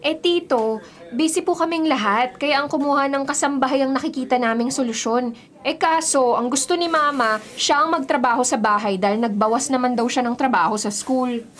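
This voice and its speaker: A Filipino woman acting in a radio drama as an impatient, unsympathetic grown daughter, brisk in manner. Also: high voice, a bit bright. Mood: businesslike.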